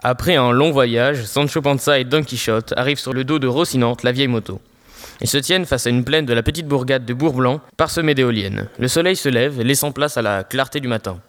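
A man's voice: mid-range voice, a little fast, fairly bright tone. A teenage man reading scripted narration for an audio fiction story. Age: teens.

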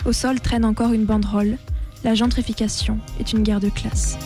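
A woman's voice: fairly high pitch, flat delivery, normal speed, music in the background. A woman reading scripted documentary narration.

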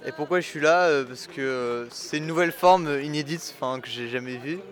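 A man in a casual street interview, talking haltingly about why he comes to the gathering. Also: a little high. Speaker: participant interviewed. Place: outdoor city square. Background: crowd.

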